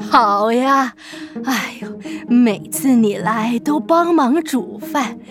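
A woman voicing a gentle, frail old grandmother with an aged, breathy voice in a children's audio drama. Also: on the high side, drawn-out words, over music. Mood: grateful, pleased. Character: gentle, frail old granny, worried and fond.

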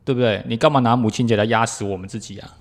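A man's voice: mid-range voice, rapid-fire. A middle-aged man with a Taiwanese accent in casual podcast conversation, plain-spoken and making his points step by step. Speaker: podcast co-host.

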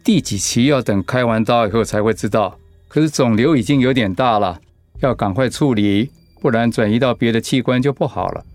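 A male doctor in a radio drama voiced by an actor. He speaks at an average pitch, plainly and with caution. Light music plays behind him. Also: brisk, a little mellow, no room sound, close to an everyday voice. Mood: grave, advising. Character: male hospital doctor, matter-of-fact and cautioning. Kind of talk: Mandarin radio drama acting.

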